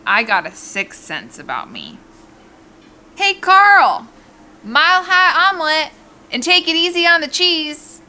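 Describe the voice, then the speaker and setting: A woman's voice: very high-pitched, very animated, unhurried, bright, forceful tone, heavily acted. A woman playing a brassy, teasing, folksy diner waitress in an audio drama, familiar in manner. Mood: calling out. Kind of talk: naturalistic audio drama acting.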